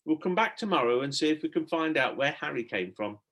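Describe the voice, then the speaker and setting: A man's voice: fairly high pitch, expressive, brisk, a bit bright, voice pushed up. A man voicing a bossy, breathless young sister with a firm, urgent manner in a storybook. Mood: firm, hurried.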